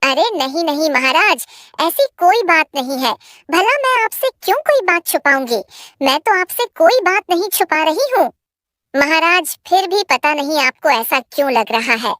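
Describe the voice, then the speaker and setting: A woman voicing a deferential female fox, eager to flatter and reassure her king in a children's cartoon. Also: sits high, normal speed, dry recording, studio quality. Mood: placating. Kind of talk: children's animal moral-story cartoon.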